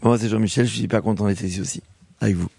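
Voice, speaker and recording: A man's voice: fairly low pitch, steady tone, rapid-fire, creaky, mellow, slightly rough. A man guiding a group radio show and handing round the microphone, heard from off-mic. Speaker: show facilitator.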